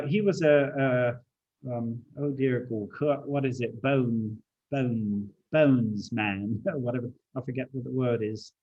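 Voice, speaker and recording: A man's voice: medium pitch, warm tone. Older man recalling, dull call audio. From China. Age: 70s. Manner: hesitant, many fillers and restarts. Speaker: guest speaker. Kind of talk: relaxed conversational recollection. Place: home video call. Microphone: video call.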